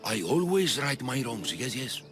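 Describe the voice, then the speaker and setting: An actor playing an eccentric, obsessive doctor in a video game, fussy and jittery, doting and often repeating himself. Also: male voice, mid-range voice, sing-song, a little slow, over music. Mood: defensive, regretful. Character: eccentric obsessive male doctor doting on his human pets. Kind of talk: video game character acting.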